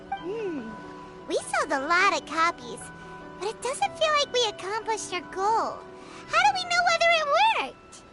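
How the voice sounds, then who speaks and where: A woman voicing a tiny, excitable girl companion in a game, chatty and bouncy, speaking of herself in the third person. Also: very high voice, full character voice. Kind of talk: game cutscene voice acting.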